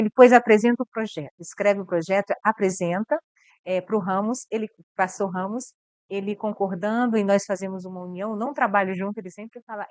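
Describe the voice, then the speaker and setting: A woman's voice: mid-range voice, clean tone, no echo. A woman from Brazil, a missionary guest, talking earnestly about her mission work and repeating words as she thinks.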